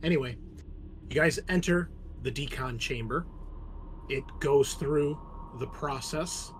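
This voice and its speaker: A man narrating a tabletop roleplaying game as game master, speaking casually and haltingly with pauses to think. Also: fairly high pitch. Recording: clear background noise.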